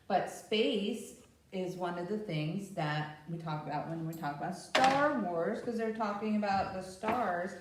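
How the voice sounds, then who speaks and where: A woman's voice: on the low side, a little mellow. A woman presenting a children's storytime, teaching in simple explanations and asking the children frequent questions. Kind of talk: interactive children's read-aloud and teaching talk. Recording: very echoey room, distant microphone.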